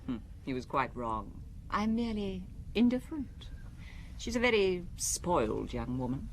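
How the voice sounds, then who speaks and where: A suspected wife in a radio drama, cold and composed. Her low voice moves through lively swings of pitch, yet stays clipped and faintly scornful. Light noise sits behind it. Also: unhurried. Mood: cool, defensive. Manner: clipped, cool, matter-of-fact.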